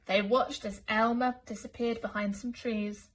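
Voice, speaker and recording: Female school storyteller, higher-pitched, expressive and deliberate picture-book narration for young children. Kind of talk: children's story read-aloud.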